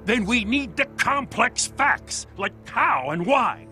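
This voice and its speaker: A man's voice: extremely high pitch, very animated, slow pace, sharp tone, faint music behind, full character voice. A man playing a troubled, questioning police officer in a video game. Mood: troubled, insistent.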